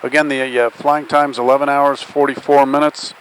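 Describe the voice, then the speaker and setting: A man's voice: medium pitch, level tone, moderate pace, poor audio. Airline captain, male, mid-range voice, easygoing phrasing, flight deck PA with slight engine drone. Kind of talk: semi-scripted flight deck announcement. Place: flight deck over cabin PA. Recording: faint aircraft noise over PA. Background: faint aircraft drone.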